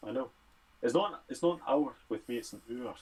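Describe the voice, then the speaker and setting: A man's voice: mid-range voice, expressive, fairly quick, darkish tone, breathy edge, poor audio. A Scottish man who studies medicine, casually answering health and fitness questions on a livestream Q&A. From Scotland.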